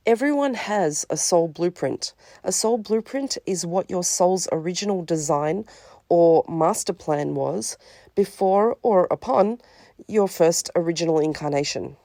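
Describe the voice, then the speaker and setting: Woman, a bit sniffly, calm counsellor explaining her service, low mellow voice, dry close recording. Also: no echo. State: a little sniffly from a cold. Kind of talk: scripted promotional talk.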